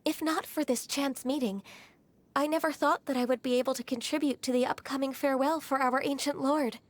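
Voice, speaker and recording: A woman playing a gentle, dutiful half-human adeptus secretary in a video game, with polite, formal, sometimes hesitant speech. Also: sits high, steady tone, fairly quick, lightly acted. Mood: polite, grateful.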